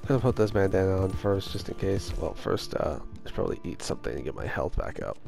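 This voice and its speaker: A young man with a hoarse voice chatting casually over his gameplay as a gaming host, swearing when things go wrong. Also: low-pitched, very animated, fast talker, music in the background. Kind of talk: casual let's-play commentary.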